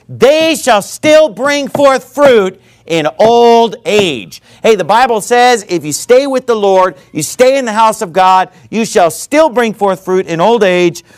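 A man in his thirties preaching an energetic, conversational sermon and reading scripture aloud. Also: high-pitched. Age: 30s.

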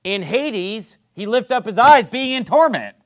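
High-voiced man preaching emphatically. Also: animated, a little slow. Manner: emphatic preaching, swinging between loud peaks and low drops; sarcastic asides. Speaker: pastor. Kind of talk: sermon.

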